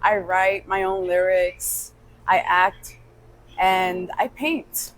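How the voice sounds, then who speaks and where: A young woman from New York whose first language is Spanish, telling her story as a podcast guest in a relaxed way and laughing easily. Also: lowish voice, very slow, slight background noise, poor audio. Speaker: guest musician. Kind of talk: casual interview talk.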